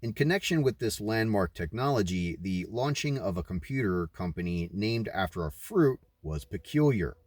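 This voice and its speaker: Male book reader, lowish register, dry sound, deliberate and emphatic, slips into informal asides. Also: animated, darkish tone, dry recording. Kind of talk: read-aloud narration with informal commentary.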